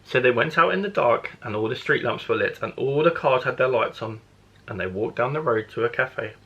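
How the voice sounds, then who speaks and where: A man's voice: mid-range voice, lively intonation, fairly quick, a bit bright, highs cut off. A man, a primary school teacher, reading a children's storybook expressively to young listeners.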